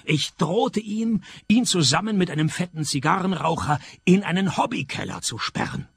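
A world-weary male detective in a comic noir radio play. His voice is slightly high and creaky, with dry sarcasm, swinging intonation. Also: animated, normal speed, no room sound, full character voice. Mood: dry, resigned. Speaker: narrator and lead actor of a detective radio play.

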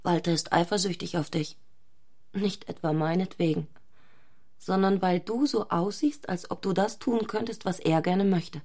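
A woman's voice: lowish voice, normal speed, a little mellow. A woman playing an intense, fanatical, provocative married woman in a literary radio drama.

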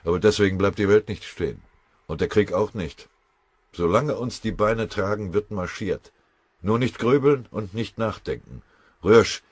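A man's voice: a bass voice, expressive, moderate pace, breathy edge, dry recording, exaggerated voice. A man voicing a stern, hardened soldier who leads his exhausted comrades with harsh commands. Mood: commanding. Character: stern hardened male sergeant-like soldier leader.

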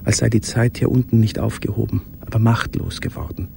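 Casting: a tormented, solitary man in literary radio drama, low gravelly voice, halting and hushed, noisy ambience behind. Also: steady tone. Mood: anguished, brooding. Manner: halting, brooding, often sinking into whispers. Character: tormented, lonely man confessing to an absent listener. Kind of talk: intimate literary radio play monologue.

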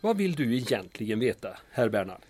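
An actor playing a guarded small-town mayor in an audio drama, formal and precise, with slight hesitations and a pedantic care for detail. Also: male voice, mid-range voice, big pitch swings, darkish tone, no room sound.

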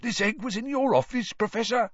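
A man's voice: high voice, very animated, measured pace, sharp tone, slightly rough, no echo, highs cut off, voice pushed up, heavily acted. A man doing a whiny, spiteful old caretaker. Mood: whining. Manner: whining and malevolent.

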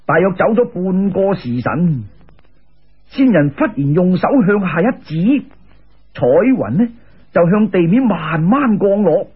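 Male Cantonese storyteller, very husky, higher-pitched, emphatic dramatic narration, narrow lo-fi radio sound. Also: a little slow. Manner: animated, colloquial, emphatic. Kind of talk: dramatic narration of a folk tale. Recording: muffled lo-fi radio-style recording.

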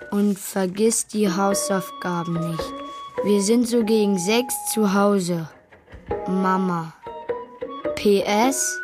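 Woman playing lonely bored kid in a radio play, slow talker, music in the background. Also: mid-range voice, natural voice. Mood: reading aloud. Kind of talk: children's radio play acting.

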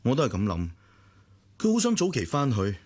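A man's voice: medium pitch, wildly swooping pitch, fairly quick, darkish tone, slightly rough. A man with a Hong Kong Cantonese accent acting a protective but detached elder brother in a radio drama, admonishing and matter-of-fact.

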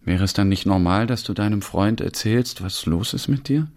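A man's voice: lowish voice, normal speed, a little mellow, natural voice. An actor playing an earnest, weary male newcomer in a naturalistic radio drama. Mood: reproachful. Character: Taha, weary, questioning male newcomer to Germany.

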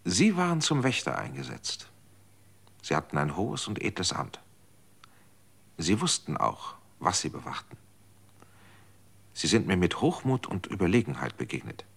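A man's voice: low voice, huge pitch swings, moderate pace, gravelly, pauses often, a bit bright, husky, exaggerated voice. An actor in a radio drama playing a guilt-ridden man who pleads and accuses. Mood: anguished, accusing.

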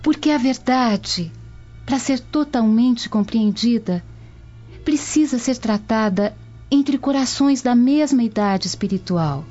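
Devout young Roman woman, Brazilian accent, soft dark tone, measured and earnest, muffled radio drama. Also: fairly high pitch, animated, soft background music. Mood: calm, earnest.

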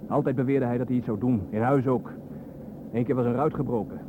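A man's voice: mid-range voice, steady tone, brisk, close to an everyday voice. An actor playing a bitter, sardonic adult son in a radio drama, brooding as he talks. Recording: narrow phone-like sound over vehicle noise.

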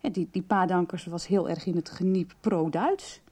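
An actress playing a calm, understanding woman who investigates and explains in a conversational Dutch radio soap. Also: lowish voice, normal speed, lightly acted. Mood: engaged, explanatory.

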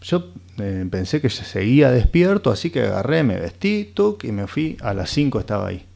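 A man's voice: medium pitch, sing-song, normal speed, gravelly, a little mellow. A man with a Rioplatense accent in a radio play, playing a muddled, dreamy storyteller who hesitates, rambles and repeats himself. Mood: animated storytelling. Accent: Rioplatense Argentine. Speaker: radio drama actor. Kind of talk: conversational radio theatre.

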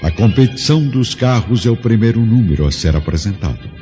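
A man narrating a radio drama in a grave, measured storytelling manner. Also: on the low side, lively intonation, dark tone. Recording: muffled audio with music score.

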